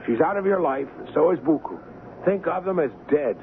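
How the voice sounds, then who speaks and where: Through a muffled, hissy old radio broadcast comes an earnest ship's doctor, acted by a man. He is firm and urgent, his pitch swinging expressively in seventies radio-drama style. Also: expressive, fairly quick, close to an everyday voice. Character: earnest male ship's doctor, loyal friend, narrator. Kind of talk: 1970s radio drama acting.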